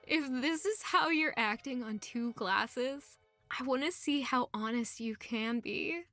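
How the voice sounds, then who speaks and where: Woman acting as earnest young working girl in an audio drama, high voice, candid, bit playful. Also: slow pace, pushed and bright, no room sound, soft background music, exaggerated voice. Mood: playful, bold. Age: young adult.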